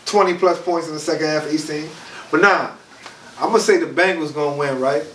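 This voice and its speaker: A man's voice: fairly high pitch, fairly even intonation, a little fast, fairly bright tone. Stuffed-up man chatting on a podcast. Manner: laid-back, chatty, frequent "you feel what I'm saying". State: stuffed-up nose. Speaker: podcast co-host. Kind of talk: casual podcast chat. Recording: echoey room, mic at a distance, faint noise.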